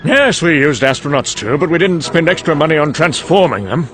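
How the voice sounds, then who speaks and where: A man's voice: fairly high pitch, lively intonation, brisk, a bit bright, soft background music. A man playing a cynical scientist, delivering a dry, weary, sardonic dramatic monologue for a motion comic. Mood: sardonic, mocking. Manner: dry, sardonic, weary musing with trailing asides. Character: cynical, sardonic British male scientist briefing an American colleague.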